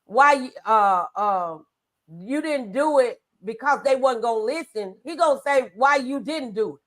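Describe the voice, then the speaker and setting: High-pitched woman hosting inspirational talk. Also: animated, measured pace. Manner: exhorting, conversational. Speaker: talk show host.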